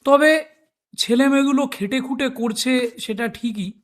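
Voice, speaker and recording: An actor playing an older, bookish retired detective in an audio drama, a husky-voiced husband with a teasing, easygoing way of talking. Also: male voice, extremely high pitch, unhurried. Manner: teasing, expressive.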